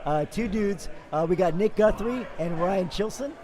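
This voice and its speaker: A man with a raspy voice calling live sports play-by-play, hoarse after days of talking, upbeat and quick with frequent fillers. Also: high voice, dark tone. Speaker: play-by-play commentator.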